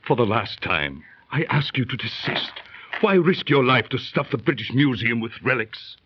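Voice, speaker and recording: An actor playing a cautious, grave judge and loyal friend, in earnest, theatrical 1930s radio style. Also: male voice, mid-range voice, lively intonation, moderate pace, noisy background, lightly acted. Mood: wary. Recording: muffled 1930s radio recording, music behind.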